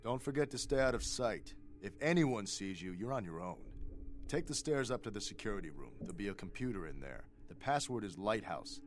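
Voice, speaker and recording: An actor playing an irritable, sarcastic crooked cop in a video game, exasperated, wisecracking and bossy. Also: male voice, fairly low pitch, animated, fairly quick, faint music behind, lightly acted. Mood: brisk, bossy.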